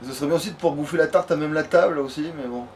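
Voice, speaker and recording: A man's voice: on the high side, rapid-fire, a little mellow. A man giving casual, sweary let's play commentary, often muttering to himself as he plays. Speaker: let's player. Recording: clearly noisy background.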